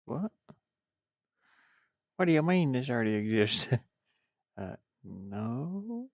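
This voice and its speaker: A man with a gravelly voice rambles and mutters to himself, thinking aloud casually as he streams his game development work. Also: medium pitch, very animated, slow pace, dry recording. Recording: dull, muffled, dry lo-fi microphone.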